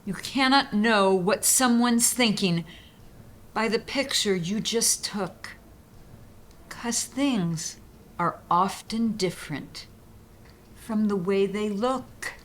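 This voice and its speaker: A woman hosting and reading children's poems aloud, with deliberate, emphatic phrasing made for young listeners. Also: medium pitch. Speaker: host and poetry reader. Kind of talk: expressive read-aloud of children's poetry.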